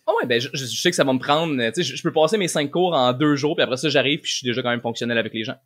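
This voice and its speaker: A man's voice: mid-range voice, very fast, fairly bright tone, no echo, clean studio sound. A man with a Québécois French accent, a guest language coach explaining things casually and in slangy, informal words. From Quebec, Canada.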